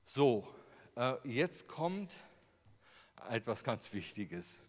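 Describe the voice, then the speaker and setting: A man's voice: mid-range voice, big pitch swings, drawn-out words. A German man giving a sermon with slides, in a conversational way, full of rhetorical questions. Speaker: preacher. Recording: long hall reverb.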